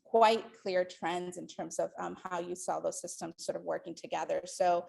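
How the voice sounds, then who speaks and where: A woman's voice: lowish voice, flat delivery, normal speed, creaky, a little mellow, breathy edge. A woman in her forties, from the Dominican Republic, giving a lecture to a live audience in a hall, thinking aloud with many ums and restarts. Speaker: guest lecturer.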